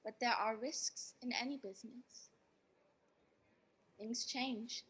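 Woman playing earnest Belle, high husky voice. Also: fairly even intonation, slow, a bit bright, exaggerated voice. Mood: hesitant, pointed. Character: earnest, sorrowful, principled young fiancée, Belle. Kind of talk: stage-style radio drama acting.

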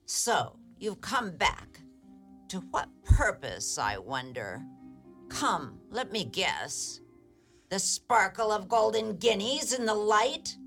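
An actress playing a wizened old ogre's wife in a radio play, sly and menacing, with deliberate archaic diction. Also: female voice, medium pitch, big pitch swings, a bit bright, soft background music, heavily acted. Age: older. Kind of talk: theatrical radio play acting.

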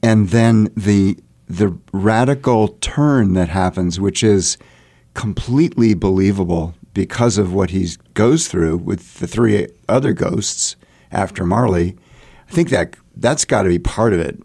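A man answering interview questions conversationally, haltingly searching for his words. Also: on the low side, creaky, dark tone. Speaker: interview guest, theatre artist.

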